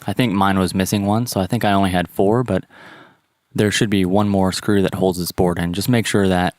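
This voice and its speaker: A man's voice: deep voice, fairly even intonation, brisk, darkish tone, no room sound, studio quality. A man narrating a step-by-step repair tutorial in a plain, instructional manner. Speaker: how-to presenter.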